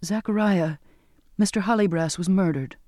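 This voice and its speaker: A man's voice: high voice, moderate pace, darkish tone, no echo, clean studio sound, natural voice. A man voicing a troubled, earnest, well-bred young girl in an audiobook, with a hesitant, formal manner. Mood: uneasy. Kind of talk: audiobook character dialogue.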